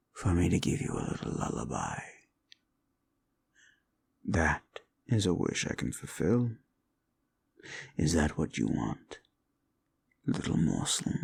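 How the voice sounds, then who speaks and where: Man acting a gravelly, deep-voiced haughty demon. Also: full character voice. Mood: teasing, coaxing. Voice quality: gravelly, creaky low voice. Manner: theatrical, archly formal, taunting. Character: male demon, fallen angel, haughty, grudging, seductive tsundere. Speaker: audio roleplay performer. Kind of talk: intimate second-person audio roleplay acting.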